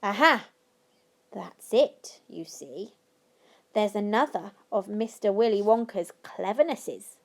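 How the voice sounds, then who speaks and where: A woman voicing a kindly old grandfather who tells a mysterious tale in a deliberate, dramatic way. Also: mid-range voice. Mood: knowing, emphatic.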